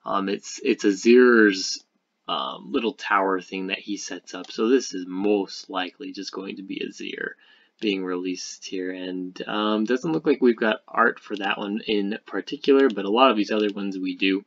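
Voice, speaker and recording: A man's voice: on the low side, normal speed, fairly bright tone. A man giving casual, somewhat hesitant commentary on a card game, as a gaming video creator. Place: voice-over recorded over screen capture. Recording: dull, muffled lo-fi microphone sound.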